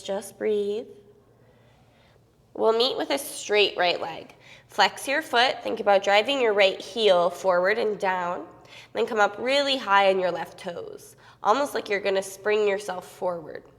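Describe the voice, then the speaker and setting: A young woman teaching a yoga class on a clip-on mic, giving calm, steady cues with a slight sniffle from a cold. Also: mid-range voice, slow pace, in a large hall. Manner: calm, steady cueing with soft fillers. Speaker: yoga instructor.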